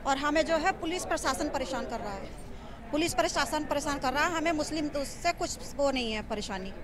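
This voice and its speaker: A woman's voice: high-pitched, expressive, a little fast. A woman villager giving an aggrieved, repetitive street interview. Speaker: village resident. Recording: outdoor crowd voices behind.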